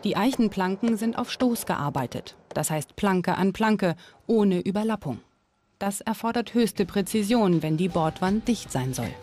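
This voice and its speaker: A woman's voice: mid-range voice, animated, a little slow, a little mellow. A woman reading scripted documentary narration. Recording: music under the voice. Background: background music.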